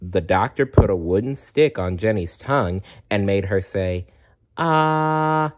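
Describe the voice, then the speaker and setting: A man reading a children's storybook aloud as a storyteller, deliberate and often clearing his throat. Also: deep voice, a little mellow, lo-fi sound.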